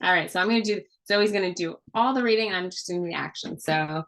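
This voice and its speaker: A woman's voice: fairly low pitch, fast talker, fairly bright tone. A woman who is a children's author, informally presenting a storybook and explaining things encouragingly to a child.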